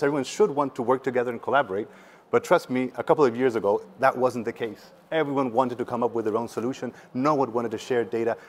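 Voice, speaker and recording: A man's voice: mid-range voice, a little hiss or hum. A man around forty, speaking earnestly and emphatically in a conversational conference talk. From Patagonia, Chile. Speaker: startup representative, panel speaker. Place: seated on a conference stage indoors.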